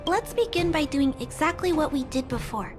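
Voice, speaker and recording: A woman voicing a kind, earnest young girl who fixes robots, speaking politely and gently. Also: high voice, moderate pace, lightly acted. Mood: earnest gentle. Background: game music.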